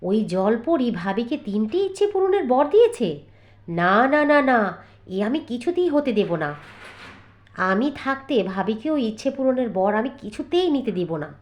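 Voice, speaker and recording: A woman's voice: a little high, big pitch swings, normal speed, a little mellow. A woman voicing a lazy, spiteful young sister-in-law in a cartoon fairy tale, whining and scheming. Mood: shocked, jealous. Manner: whiny complaining and gleeful scheming.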